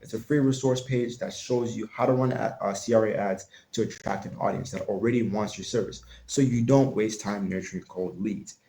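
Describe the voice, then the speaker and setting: Man, marketing coach type, talks loose and mellow, plenty of restarts, roomy echo on the track. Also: fairly low pitch, a little fast, vocal fry, hardly any pauses. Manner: loose and conversational, frequent restarts and fillers. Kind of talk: casual tutorial talk. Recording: echoey room.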